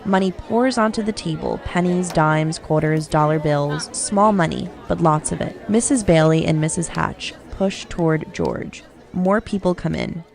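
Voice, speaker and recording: A woman's voice: deep voice, measured pace, gravelly, a little mellow. A woman narrating a scripted radio drama, reading out the action as narrator. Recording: music and crowd noise behind. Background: music and crowd bustle.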